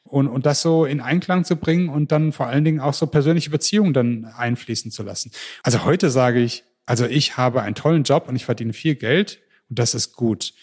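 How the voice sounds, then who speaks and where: A man's voice: medium pitch, husky. German man telling his story eagerly. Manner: lively storytelling with frequent restarts. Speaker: interview guest. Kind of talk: casual podcast interview.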